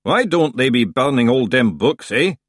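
Man voicing a grumbling hidden knee, surly, husky, dry. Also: mid-range voice, sing-song, normal speed, no room sound, voice pushed up.

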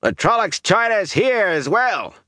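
Guy doing a scornful loudmouth villager, way higher pitch, sneery, fantasy story. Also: big pitch swings, measured pace, no echo, studio quality, heavily acted. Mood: boastful shouting. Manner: loud, sneering. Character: sour, scornful male villager, boastful and quarrelsome.

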